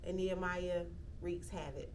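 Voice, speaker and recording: A woman, speaking reflectively in an interview, often pausing with "um" and restarting sentences. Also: on the low side, pushed and bright, distant mic. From Athens, Georgia, US. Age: 30s. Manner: reflective, with frequent "um" fillers and restarts. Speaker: interviewee, local county commissioner. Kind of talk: casual interview talk.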